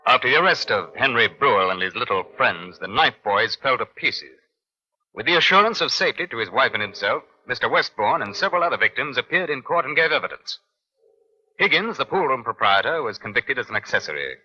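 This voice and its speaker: Bright, forceful British police inspector. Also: male voice, mid-range voice, sing-song, brisk. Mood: brisk, composed. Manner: clipped commands, dry understatement. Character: brisk, wry, gallant British police inspector. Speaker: lead actor and narrator. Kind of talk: 1950s radio crime drama acting. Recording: muffled old radio recording.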